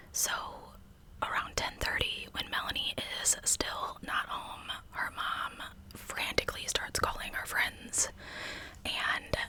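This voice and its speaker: A woman narrating a story in a breathy, hushed ASMR whisper, with informal storytelling phrasing, close to the microphone. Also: rough recording. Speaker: true crime ASMR host.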